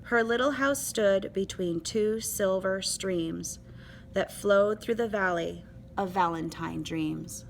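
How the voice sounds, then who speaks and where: A woman reading a children's picture book aloud with an expressive, rhythmic delivery. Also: medium pitch, takes it slowly, gravelly, slight background noise. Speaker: storybook reader.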